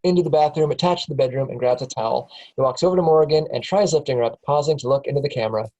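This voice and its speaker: A woman's voice: very deep voice, very fast, warm tone, dull audio. A woman reading stage directions aloud in a casual table read, often breaking into laughs.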